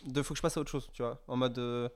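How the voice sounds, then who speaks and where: A man's voice: mid-range voice, steady tone, fast talker, smooth. A young man co-hosting a podcast, speaking French in an informal, slangy conversational style. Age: young adult. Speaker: podcast co-host. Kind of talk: casual conversation.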